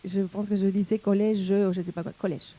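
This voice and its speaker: A woman from Argentina in her forties with an Argentine accent, a tango dancer and teacher, speaking in a thoughtful, halting way as she searches for words. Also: medium pitch, flat delivery, dark tone. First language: Spanish. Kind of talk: personal reflective talk.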